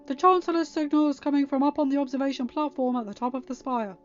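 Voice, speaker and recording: A woman's voice: high voice, fast, voice pushed up, natural voice. A woman voicing an exasperated male Jedi master in a story reading, with a bossy, exclamatory, dry manner. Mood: commanding. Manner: exclamatory, bossy, dryly quipping. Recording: dull, band-limited audio with background music.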